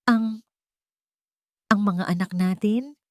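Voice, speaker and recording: Frail long-lost wife played by a female narrator, weak cracked voice, raised pitch, storybook drama. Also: slow, dark tone, no echo, studio quality, close to an everyday voice. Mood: anxious longing. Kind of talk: audio storybook character acting.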